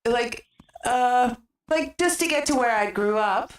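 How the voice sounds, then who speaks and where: A woman's voice: on the high side, a little slow. A woman with a western Canadian accent, chatting casually and giggly as she livestreams games. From British Columbia, Canada. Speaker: gaming streamer. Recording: roomy, distant microphone sound.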